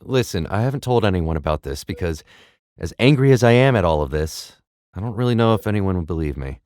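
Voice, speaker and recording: Man acting a very deep-voiced, worried father. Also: lively intonation, brisk, darkish tone, no room sound, studio quality. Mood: firm bargaining. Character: worried, firm middle-aged father. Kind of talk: audio drama acting.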